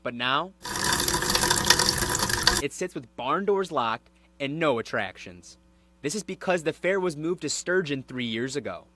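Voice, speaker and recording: A man's voice: on the high side, expressive, a little slow, pauses often, heavy background noise. A man working as a TV news reporter, delivering scripted broadcast narration and live reports.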